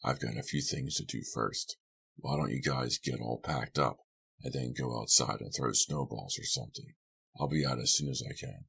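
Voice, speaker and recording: Male reader as gruff older man, very deep, sly, mocking, folksy grumble, storybook character. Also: moderate pace, fairly bright tone, exaggerated voice. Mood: grumbling.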